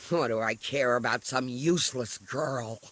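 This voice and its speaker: An actor playing a gruff, surly old peasant who is curt, hostile and grumbling, in a video game cutscene. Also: male voice, mid-range voice, measured pace, a bit bright, dry recording. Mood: grudging, threatening. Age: older. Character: gruff, surly old peasant parent-in-law, grudging and foul-mouthed.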